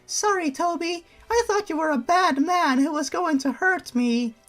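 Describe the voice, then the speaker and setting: A man voicing a sweet, timid, clingy little girl in a childlike falsetto. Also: measured pace, a bit bright, soft background music. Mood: sheepish.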